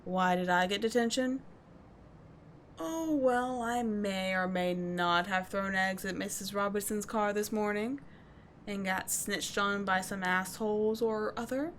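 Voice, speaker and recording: A woman's voice: medium pitch, unhurried, fairly bright tone, a little hiss or hum, lightly acted. A woman playing a tough but caring teenage delinquent schoolgirl in an ASMR roleplay, speaking in a slangy, blunt yet soothing way. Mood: casual, bossy, caring. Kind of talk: ASMR roleplay audio drama.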